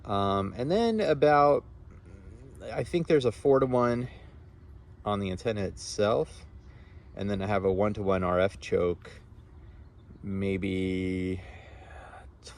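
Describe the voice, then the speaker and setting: A man's voice: lowish voice, slow pace, long pauses. A man, an amateur radio operator, chatting casually and explaining technical things in a rambling way outdoors. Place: outdoors on a front lawn.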